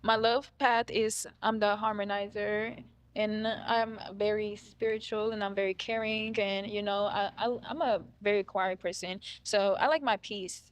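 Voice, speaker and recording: A woman in her thirties, a dating show contestant talking in a relaxed, self-assured way. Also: medium pitch, a little slow. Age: 30s. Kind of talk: casual conversation.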